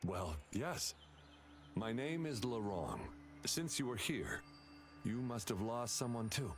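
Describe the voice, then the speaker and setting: An actor playing a desperate, unhinged father in a video game, speaking in a halting, fervent, overwrought manner. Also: medium pitch, lively intonation, slight background noise. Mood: eerily tender. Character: desperate, unhinged doting father clinging to his daughter. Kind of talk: dramatic video game voice acting. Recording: music behind the voice.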